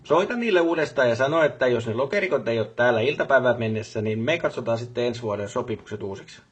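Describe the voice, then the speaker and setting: A man's voice: medium pitch, lively intonation, husky. A man playing a busy, businesslike factory boss in a video game, talking colloquially and repeating short affirmations. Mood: stern, pressing. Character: busy, brisk male factory boss on the job. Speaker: voice actor for a game character. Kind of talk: game voice lines, phone calls and workplace speeches.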